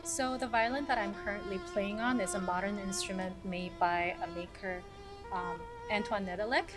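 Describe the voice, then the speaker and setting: A woman's voice: medium pitch, steady tone, normal speed. Woman musician from South Korea over music. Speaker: orchestral violinist. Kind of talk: casual scripted-outline self-introduction to camera.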